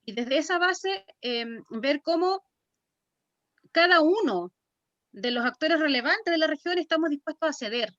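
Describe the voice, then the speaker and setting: A Chilean woman speaks at a measured, deliberate pace on a panel. Her voice is very high, the sound is dry, and the audio is slightly dull. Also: animated, fairly bright tone, dry recording. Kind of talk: panel discussion.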